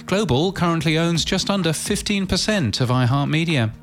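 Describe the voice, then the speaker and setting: A man presents a scripted podcast news bulletin with music behind him. His delivery is crisp and orderly, moving from headline to headline with the odd dry aside. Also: on the high side, lively intonation, moderate pace.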